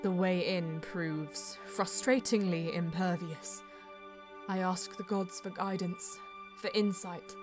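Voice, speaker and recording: A woman playing a solemn Eldar bonesinger who narrates her quest in a measured, poetic way in an audio drama. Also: fairly low pitch, fairly even intonation. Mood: weary, determined. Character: female Eldar bonesinger, solemn, mystical, easily frustrated seeker. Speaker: narrator and lead character. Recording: music under the voice. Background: background music.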